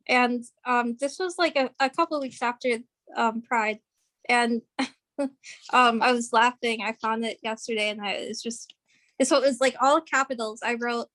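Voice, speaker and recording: A woman in her late twenties, a fan talking casually and haltingly, with many fillers and giggles. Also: a little high, a bit bright. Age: 20s. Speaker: fan guest. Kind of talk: casual chat.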